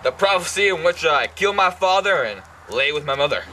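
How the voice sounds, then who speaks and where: Anguished Greek king for a puppet play, acted by a young man: bright, animated, stilted delivery, faint noise behind. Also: a little high, a little fast, poor audio. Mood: anxious. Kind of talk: amateur scripted puppet-show acting.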